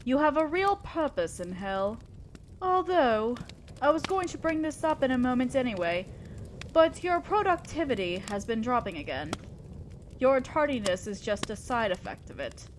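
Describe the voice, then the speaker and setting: Woman acting as female demon overlord, high voice, slow measured delivery, bossy old-fashioned formality, caring undertone, audio roleplay. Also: slight background noise. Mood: concerned, probing. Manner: commanding, formal, measured, condescending yet concerned. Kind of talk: scripted roleplay monologue addressed to the listener.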